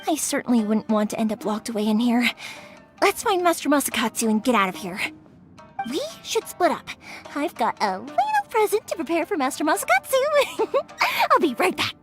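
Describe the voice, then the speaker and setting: A woman's voice: high voice, big pitch swings, fairly quick, over music. A young woman voicing a cheerful, playful fireworks shop owner in a video game dub, bubbly and giggly with folksy phrasing.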